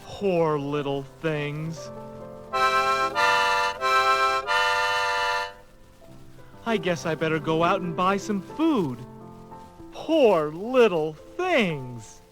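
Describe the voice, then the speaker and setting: High-voiced, harried, kindly songwriter. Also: male voice, big pitch swings, takes it slowly, low-quality recording. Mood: pitying. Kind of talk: children's story record acting. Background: music.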